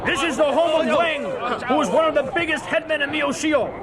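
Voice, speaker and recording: An actor playing a harsh, vengeful informer in a radio drama, barking accusations in a noisy crowd scene. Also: male voice, extremely high pitch, brisk, loud music behind the voice. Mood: menacing.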